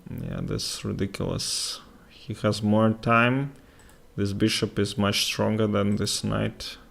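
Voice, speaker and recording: Man, Russian accent, chess commentary, halting, unhurried, creaky voice. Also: fairly low pitch, fairly even intonation, a bit bright. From Latvia. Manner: halting, with many fillers and pauses. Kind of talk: live chess commentary with chat.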